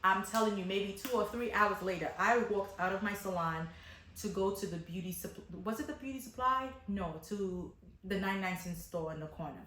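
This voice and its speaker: A woman's voice: medium pitch, normal speed, fairly bright tone, slight background noise. Chatty woman storyteller in an echoey room. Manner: acts out dialogue with exclamations and rhetorical questions; chatty and slangy, addressing viewers directly. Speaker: vlogger telling a personal story. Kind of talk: casual, animated personal storytelling.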